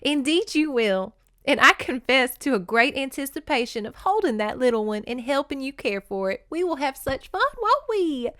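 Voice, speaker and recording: A loyal young lady's maid and best friend as a woman puts her on, pitched up and smooth. She is protective and imploring, speaking in emphatic, formal lines from a romance storybook. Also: sing-song, moderate pace, fairly bright tone, dry recording, clean studio sound. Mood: fond, eager. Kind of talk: dramatic romance novel reading.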